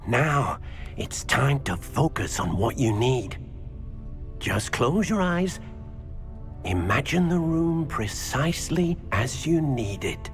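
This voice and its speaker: A woman playing a calm, mysterious mentor at a magic school in a game, guiding in a deliberate way. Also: rumbling low, exaggerated voice. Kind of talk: scripted game dialogue. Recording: music under the voice.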